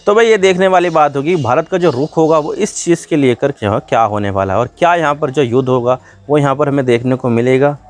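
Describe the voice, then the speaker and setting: A man's voice: medium pitch, a little fast, hardly any pauses. A man from India gives a conversational explainer, addressing viewers colloquially and leaning on repeated filler phrases. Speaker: news explainer host.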